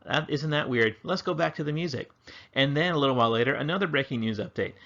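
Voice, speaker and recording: A man's voice: a little high, animated, quick pace, fairly bright tone, muffled recording. A man teaching history in an informal, chatty, enthusiastic online lesson style with slangy phrasing. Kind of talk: casual explanatory online lesson.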